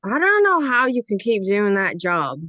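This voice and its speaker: A woman's voice: mid-range voice, very animated, normal speed, bright, forceful tone, dry recording. A woman playing a laid-back, middle-aged slacker friend in a script read-through, wry and unbothered.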